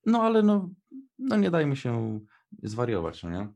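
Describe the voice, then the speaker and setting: A man's voice: mid-range voice, huge pitch swings, measured pace, vocal fry, a little mellow, dull audio. A young man co-hosting a radio show in casual chat, with informal, hesitant phrasing. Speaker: radio co-host.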